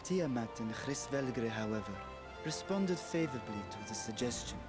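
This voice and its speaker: A man voicing an ancient dragon in a game, solemn, dignified and eloquent, with formal archaic speech and measured delivery. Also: mid-range voice, expressive, close to an everyday voice. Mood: solemn, grave. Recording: loud music under the voice.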